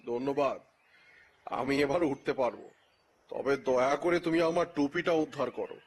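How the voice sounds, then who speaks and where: An actor playing an eccentric old gentleman naturalist in an audio story, speaking in a measured, lamenting, gently pleading way. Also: mid-range voice, fairly even intonation, slight background noise, close to an everyday voice. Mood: courteous. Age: older. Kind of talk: dramatized audio story dialogue.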